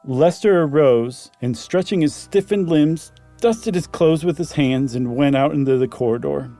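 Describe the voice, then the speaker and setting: Mellow, higher-voiced man co-hosting. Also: moderate pace, faint music behind. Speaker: podcast co-host. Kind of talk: story reading and casual chat.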